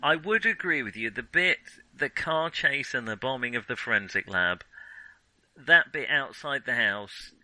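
A man's voice: medium pitch, expressive, measured pace, sharp tone, no echo, low-quality recording. A man co-hosting a film podcast, chatting casually with wry, enthusiastic humour. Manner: enthusiastic, chuckling, wryly joking.

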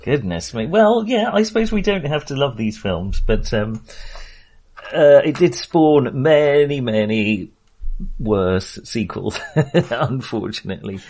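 A man's voice: medium pitch, animated, slow, a little mellow. A man co-hosting a podcast, chatting casually about films in a hesitant, agreeable conversational manner. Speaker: film podcast co-host. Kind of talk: casual, conversational film chat.

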